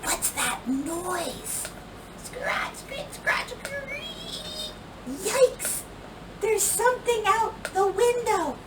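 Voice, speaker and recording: A woman reading a children's picture book aloud like a teacher, with expressive, emphatic delivery that acts out the words. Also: extremely high pitch, extremely slow. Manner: animated, emphatic delivery with acted-out dialogue and sound words. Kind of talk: expressive storybook read-aloud. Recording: roomy, distant microphone, clear background noise.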